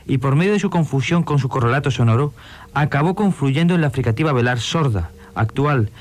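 A man lecturing precisely and slowly, in an archival radio lesson. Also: mid-range voice, animated. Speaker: university lecturer.

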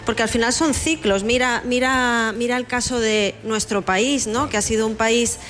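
A woman's voice: a little high, steady tone, normal speed, a bit bright. A woman from Spain, a novelist being interviewed, talking reflectively and emphatically and repeating words for stress. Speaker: novelist guest. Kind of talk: conversational interview.

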